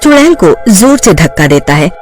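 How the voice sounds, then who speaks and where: A woman narrating a horror cartoon story in a lively, dramatic scripted style. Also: on the low side, moderate pace, faint music behind.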